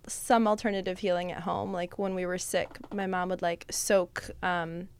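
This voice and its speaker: A woman's voice: on the low side, flat delivery, moderate pace, clean tone. A young adult woman, a podcast guest, telling her story in casual chat, full of "like" and "um". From Russia. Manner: chatty, many fillers like "like" and "um", laughs often.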